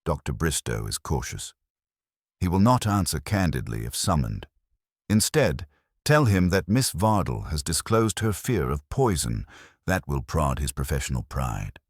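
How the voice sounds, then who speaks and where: An imperious older detective, played by a man in an audio mystery, calculating and unhurried. The voice is extremely deep and husky, heavy with authority. Sentences come as formal, flat commands, with marked rises and falls. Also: dry recording, clean studio sound, heavily acted. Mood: grave, commanding. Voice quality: heavy, weighty.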